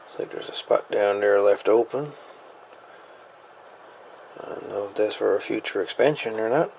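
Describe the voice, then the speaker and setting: Gravelly low-voiced man at a workbench. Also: normal speed, darkish tone, slight background noise, poor audio. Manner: laid-back, thinking aloud, mumbled asides. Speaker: radio hobbyist reviewer. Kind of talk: casual equipment teardown walkthrough. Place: workshop repair bench.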